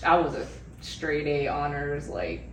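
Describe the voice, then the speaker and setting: A young woman from Arizona, born in Iran, chatting as a podcast guest, animated and slangy, laughing often. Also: sits low, a little slow, far from the mic, over a noisy crowd. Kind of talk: casual chat. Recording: roomy, from the mic, faint hiss.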